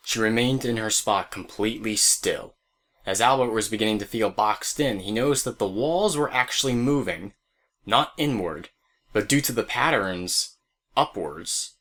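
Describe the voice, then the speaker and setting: A man's voice: on the low side, a bit bright. A man narrating a scripted audio drama as a storyteller who speaks straight to the listener. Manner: storyteller addressing listeners directly.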